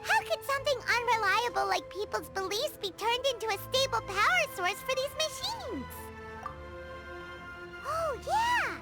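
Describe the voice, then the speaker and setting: Game fairy mascot: tiny, blunt, extremely high and animated, over loud music. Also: female voice, exaggerated voice. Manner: refers to self in third person. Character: tiny chirpy floating fairy companion, childlike and blunt. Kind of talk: video game voice acting.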